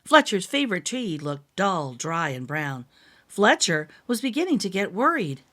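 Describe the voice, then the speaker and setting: Animated woman reading a children's story. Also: fairly low pitch, moderate pace. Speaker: children's book narrator. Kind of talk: expressive storybook read-aloud.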